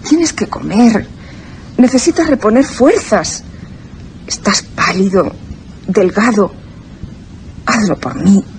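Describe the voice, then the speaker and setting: An actress playing a worried, tender sister in a radio drama, gently pleading. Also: female voice, mid-range voice, animated, takes it slowly, pauses often, slight background noise, low-quality recording. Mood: concerned. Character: worried, tender sister coaxing her troubled brother. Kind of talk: Spanish radio theatre acting.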